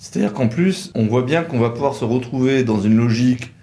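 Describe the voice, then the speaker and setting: A man's voice: medium pitch, brisk, mellow, slight background noise. A man presenting a conversational legal explainer as a lawyer, reasoning methodically and setting out nuances point by point.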